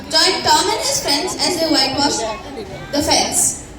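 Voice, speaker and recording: A woman's voice: high voice, lively intonation, unhurried. A young girl playing a proud famous novelist, reciting a scripted stage monologue in a declamatory way. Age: child or young teen. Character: proud famous American novelist introducing himself. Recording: echoey hall from far off, crowd.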